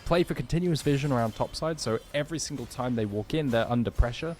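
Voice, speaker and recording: A man's voice: mid-range voice, fast, darkish tone, faint music behind. A man casting a live esports match, giving breezy play-by-play and analysis. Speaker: esports caster.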